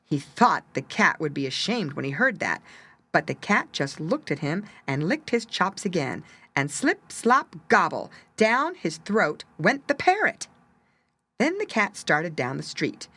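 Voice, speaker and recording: Animated woman storyteller, lowish voice. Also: measured pace, husky. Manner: expressive, dramatic emphasis. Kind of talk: animated children's story narration.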